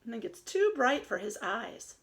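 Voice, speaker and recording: A woman teacher reading a story aloud and giving simple instructions to young children, expressive and explanatory. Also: a little high, moderate pace.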